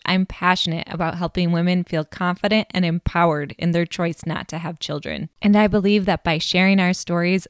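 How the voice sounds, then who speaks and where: A woman's voice: fairly low pitch, dry recording, studio quality. A woman hosting a podcast as a life coach, welcoming her guest and asking interview questions in an upbeat, friendly way.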